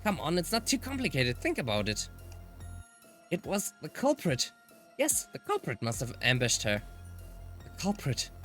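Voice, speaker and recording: A man's voice: on the high side, wildly swooping pitch, normal speed, pauses often, fairly bright tone, faint music behind, voice pushed down. A man who is a native German speaker voicing a level-headed, insistent young woman in a dramatic visual novel read-aloud. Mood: tense, reasoning.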